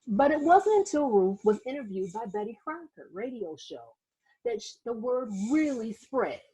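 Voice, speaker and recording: A woman reading a children's story aloud like a teacher, expressive and dramatic, with asides to her listeners. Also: on the high side, moderate pace, husky, poor audio. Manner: animated, dramatic, acts out sound words and exclamations.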